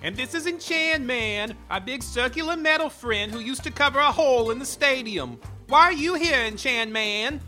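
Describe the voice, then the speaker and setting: A woman's voice: high voice, animated, moderate pace, hardly any pauses, sharp tone, faint music behind. A woman playing a chatty, melodramatic widow of a Halloween town in an audio comedy, with a gushing, dramatic manner. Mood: chatty, concerned.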